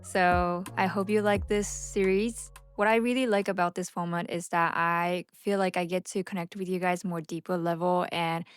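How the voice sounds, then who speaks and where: A woman's voice: lowish voice, fairly even intonation, moderate pace, hardly any pauses, clear, resonant tone, no room sound, faint music behind. A woman who speaks Japanese as her first language, chatting candidly and unscripted to camera like a vlogger, sometimes stumbling over her words. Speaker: vlogger and social media marketing agency owner. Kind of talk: unscripted casual chat to camera.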